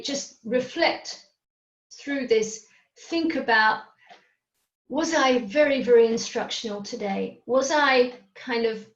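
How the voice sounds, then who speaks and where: A woman's voice: on the high side, reverberant, muffled recording. A woman whose first language is Hungarian, presenting a live webinar on coaching and checking in often with her listeners. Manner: explanatory, often checks in with "okay?".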